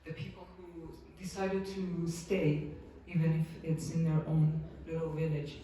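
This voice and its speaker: A man from Iran talks softly and haltingly on a festival panel, pausing often as he searches for words. His voice is mellow and fairly flat in pitch. He sounds far from the mic in a very echoey room with faint noise. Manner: soft-spoken, halting, many pauses and fillers. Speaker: documentary director on a panel. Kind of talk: panel talk.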